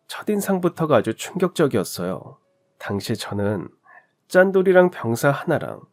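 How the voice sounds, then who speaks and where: A man's voice: mid-range voice, darkish tone. A man narrating a true-life story in a radio-drama style, talking to listeners in a conversational way. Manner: conversational, addressing listeners directly.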